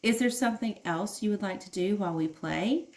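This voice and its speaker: A woman's voice: mid-range voice, fairly quick, darkish tone, lightly acted. A woman voicing a patient kangaroo father in a picture book, soothing and reasoning with his child.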